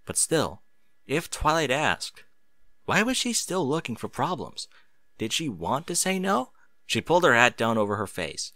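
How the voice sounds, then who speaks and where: Male audiobook narrator, scripted fiction, crisp bright delivery with lively pitch movement. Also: unhurried, no echo.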